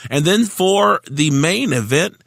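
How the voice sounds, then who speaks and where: A man hosts a laid-back sports podcast, reading through a fight card. His voice is bright and swings widely in pitch. He stumbles over names and laughs it off. The sound is dry, with no room echo. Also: lively intonation, slow, vocal fry. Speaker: podcast host.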